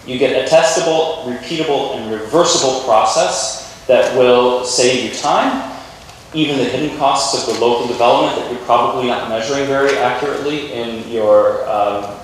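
A man's voice: medium pitch, moderate pace. A man giving an informal, explanatory conference keynote on technology to a lecture theatre. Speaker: keynote speaker. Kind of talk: conference tech presentation. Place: large university lecture theatre with audience. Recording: echoey hall, distant mic, faint noise.

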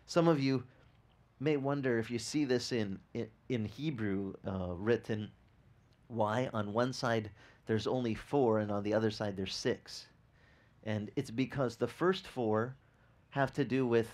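A man's voice: mid-range voice, measured pace. A man in his forties whose first language is Italian, preaching a sermon on a beach and asking the crowd questions as he goes. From grew up speaking Italian. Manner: animated, gesturing, asks the crowd questions. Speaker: pastor. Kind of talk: outdoor sermon. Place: sandy beach outdoors.

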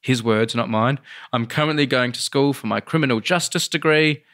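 A man's voice: mid-range voice, moderate pace, a bit bright. An Australian man chatting casually as a podcast co-host, an enthusiastic storyteller. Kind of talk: casual anecdotal podcast chat.

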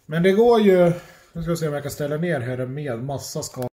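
A middle-aged man chatting casually for a family vlog, informal and sweary, often halting, recorded on a phone at arm's length indoors. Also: on the high side, expressive, mellow. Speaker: family vlogger. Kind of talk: casual handheld vlog chat. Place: indoors at home, rooms and kitchen. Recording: roomy echo, faint household noise.

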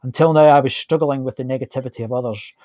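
A man's voice: mid-range voice, fast, dark tone, no echo. A man in his fifties hosting an online interview in an informal, appreciative, conversational way. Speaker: host of an online interview show. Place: small home studio, indoors. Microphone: close studio microphone on a stand.

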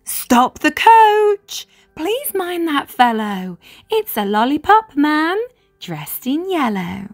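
Lady doing a cheery storybook king, high voice, eager and grand, super animated. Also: slow, faint music behind, full character voice. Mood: eager, exclaiming. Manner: exclaiming, friendly, grand.

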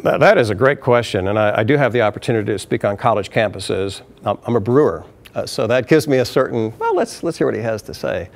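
A man's voice: on the low side, fast, vocal fry. Man telling a story in a brewery taproom. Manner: animated storytelling, hand gestures. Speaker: brewery chief executive. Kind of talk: sit-down interview.

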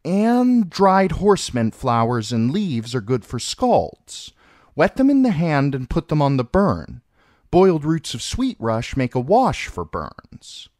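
A man's voice: fairly high pitch, wildly swooping pitch, takes it slowly, a little mellow, no room sound, clean studio sound, voice pushed up, full character voice. A man voicing an earnest young girl pupil in a storybook, reciting carefully. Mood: earnest, concentrating. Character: earnest young girl learning herbal healing.

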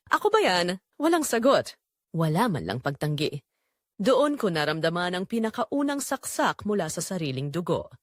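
A woman narrating a scripted romance audio drama in the first person, in an earnest, sentimental, measured way. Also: mid-range voice, no echo, clean studio sound.

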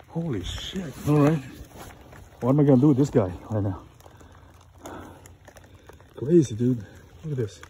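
A man's voice: medium pitch, lively intonation, slow, pauses often, mellow. An American man casually narrating an outdoor fishing vlog in informal, slangy speech. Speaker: fishing vlogger. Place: outdoors on a grassy riverbank. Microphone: handheld phone. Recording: noisy outdoor phone recording.

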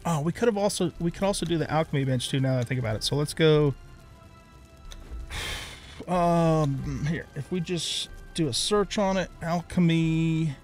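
A middle-aged man with a voice pitched a little higher than most men's. He hosts a gaming video in a casual, unscripted way. He tends to ramble, talking through each step as he thinks of it. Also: normal speed, darkish tone, soft background music. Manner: rambling, thinking aloud as he plays. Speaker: gaming YouTuber, host. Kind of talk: casual let's play commentary.

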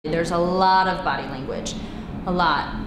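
A woman's voice: on the low side, unhurried. A woman speaking emphatically and unevenly paced in a casual documentary interview. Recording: echoey room with background noise.

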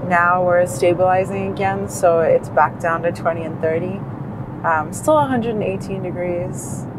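A woman's voice: on the low side, normal speed. A woman in her thirties chatting casually as a vlog co-host, narrating a road trip from the passenger seat. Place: inside a moving car. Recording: steady road and cabin noise.

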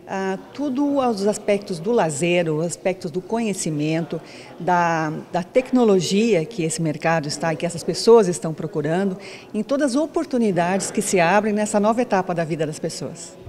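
A middle-aged Brazilian woman answers interview questions on a handheld mic. Her voice sits slightly low as she explains things as a project director. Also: lively intonation, normal speed, a little mellow. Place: event lounge. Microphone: handheld interview microphone.

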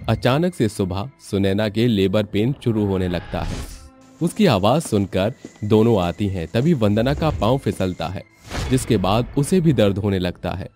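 Low-voiced, animated male storyteller. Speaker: story narrator. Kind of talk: scripted storybook narration.

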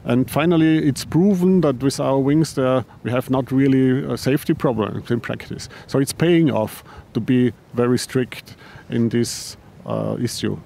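A man who heads a paraglider company answering interview questions, halting, with frequent restarts and repeated words. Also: mid-range voice, gravelly, warm tone, a little hiss or hum. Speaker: paraglider designer and company head.

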